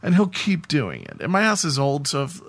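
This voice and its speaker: A man's voice: a little high, wildly swooping pitch, moderate pace, vocal fry, a bit bright. A man co-hosting a podcast, telling anecdotes in a casual, rambling conversational way. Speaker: podcast co-host.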